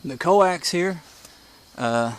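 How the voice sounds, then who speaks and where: A man's voice: fairly high pitch, animated, extremely slow. A man giving a casual, step-by-step walkthrough in a plainspoken, folksy way, recorded outdoors. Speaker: hobbyist presenter. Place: outdoors beside a house porch. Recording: outdoor recording with clear insect and bird noise. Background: insects, birds, outdoor ambience.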